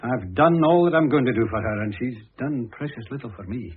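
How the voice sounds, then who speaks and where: An actor playing an aging, wealthy husband in a classic radio drama, proud, plaintive and earnest. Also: male voice, medium pitch, expressive, brisk, a little hiss or hum, close to an everyday voice. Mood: aggrieved. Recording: muffled phone-like old radio recording.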